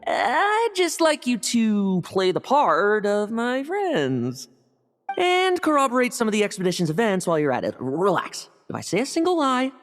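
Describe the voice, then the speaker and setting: A coaxing, sly young knight. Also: female voice, on the high side, huge pitch swings, normal speed, faint music behind. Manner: smooth, coaxing, playfully evasive. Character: sly young knight scheming to inherit a title. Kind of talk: video game cutscene dialogue.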